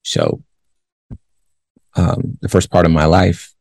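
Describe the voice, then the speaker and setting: A man in his forties from the Los Angeles area, hosting a commentary show. He reads a news story aloud, then gives his views in a measured way with many pauses. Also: low-pitched, sing-song, creaky, darkish tone, no echo. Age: 40s. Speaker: show host.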